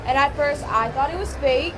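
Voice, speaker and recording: A woman's voice: high-pitched, normal speed. A young woman from Hawaii, a slap fighter being interviewed in a casino, talking easygoing and laughing often. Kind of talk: casual interview. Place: casino, busy public area. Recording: noisy crowded casino background.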